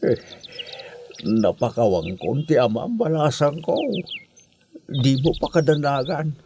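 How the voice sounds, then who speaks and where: Man playing old farmer father, audio drama, humble, plaintive, creaky dark voice, sorrowful. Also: mid-range voice, animated, a little slow. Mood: surprised, humble. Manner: plaintive, exclamatory. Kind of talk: amateur Ilocano audio drama acting. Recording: faint background noise, birdsong.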